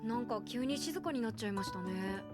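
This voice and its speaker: A woman's voice: fairly high pitch, expressive, brisk, over loud music, natural voice. A woman voicing a practical, dryly unfazed young woman in a Japanese audio drama, explaining things politely but casually.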